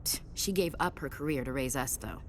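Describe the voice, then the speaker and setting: A woman's voice: extremely low pitch, brisk, slight background noise. A woman playing a tough, candid soldier and protective big sister in a video game, frank and conversational. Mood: fond, open. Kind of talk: naturalistic video game dialogue acting.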